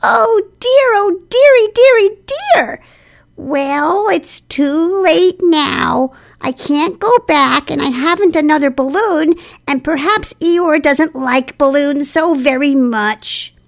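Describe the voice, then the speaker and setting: Tiny anxious piglet, very high squeaky voice, swooping intonation, fretful, earnest, children's storybook. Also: female voice, lively intonation, slow pace, gravelly, full character voice. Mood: dismayed. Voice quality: thin, squeaky. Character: small timid anxious young piglet, eager and apologetic. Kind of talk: children's storybook character reading.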